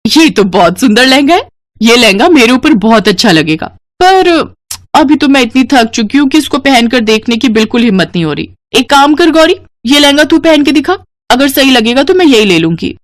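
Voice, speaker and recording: A woman's voice: sits high, big pitch swings, normal speed, pitched above the performer's own voice. A woman voicing a haughty, bossy young rich woman in a moral story, imperious and belittling. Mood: demanding, vain.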